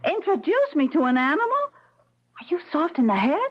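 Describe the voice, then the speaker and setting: A bossy, nagging wife in an old radio comedy. Her voice is high and swoops dramatically with sarcasm and scorn. The sound is dull and lo-fi. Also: very animated, a little fast. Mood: indignant, scornful. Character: domineering, scornful, nagging wife holding the purse strings.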